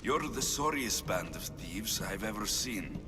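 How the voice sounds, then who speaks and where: Tough hired sword for a game cutscene: low, wry, commanding, very varied delivery. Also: male voice, animated, a little slow, music in the background. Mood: menacing, scornful. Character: tough, sardonic male mercenary for hire, adult. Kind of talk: video game cutscene acting.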